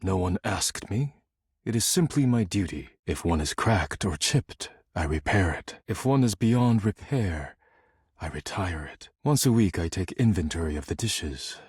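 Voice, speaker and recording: Game role: proud, duty-bound spirit of the fine china. Low, formal, deadpan, very dry close recording. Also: male voice, measured pace, slightly rough, dry recording, exaggerated voice. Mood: grave, dismissive. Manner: formal, clipped, deadpan, disdainful. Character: stern, proud, formal male dishware spirit devoted to duty. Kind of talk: scripted video game character acting.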